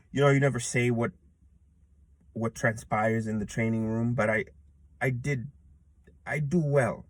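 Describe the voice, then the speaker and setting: A man's voice: lowish voice, no echo. A man in his thirties talking to himself outdoors in an earnest, rambling way, with many restarts and fillers. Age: 30s. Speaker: jiu-jitsu purple belt vlogger. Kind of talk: reflective casual monologue. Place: outdoors in a public place.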